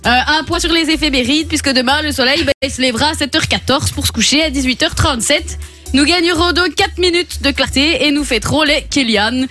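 Une femme qui imite une présentatrice météo liégeoise décontractée, avec un accent liégeois forcé et des "hein" partout. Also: female voice, sits high, fairly quick, bright, forceful tone, faint music behind. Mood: playful. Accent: put-on Liège. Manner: loose, giggly, drops fillers like hein and ben. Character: Liège weatherwoman, chatty and casual.

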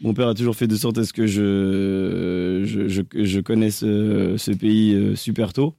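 A young man from France, a singer, answering interview questions in a hesitant, halting way with many restarts. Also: fairly low pitch, little pitch movement, gravelly, warm tone, no room sound, studio quality.

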